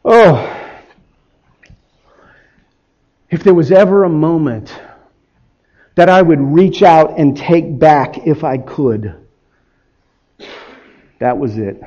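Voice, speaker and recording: Man playing anguished biblical disciple, dramatic monologue, high voice, huge swings from hush to cry, halting, guilt-ridden. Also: expressive, highs cut off. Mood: grieving, ashamed. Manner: halting, emotional, swinging from hushed to shouting.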